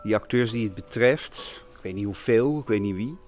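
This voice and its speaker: A man's voice: lowish voice, moderate pace, darkish tone, faint music behind. A man giving his opinion in an interview, in a reflective, questioning manner.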